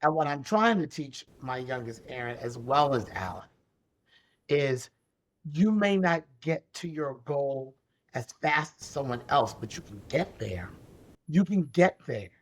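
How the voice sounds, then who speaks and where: A woman in her forties with a deep, raspy voice, telling her story as a podcast guest in an animated, emphatic way and repeating key phrases. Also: a little slow. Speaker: podcast guest, special education advocate. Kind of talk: conversational interview storytelling.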